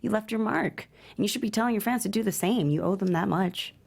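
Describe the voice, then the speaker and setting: A woman playing a blunt, outspoken daughter at a script table read, with a creaky voice. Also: medium pitch, fast, a little mellow. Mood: impassioned. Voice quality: creaky, gravelly fry. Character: blunt, outspoken adult daughter challenging her mother.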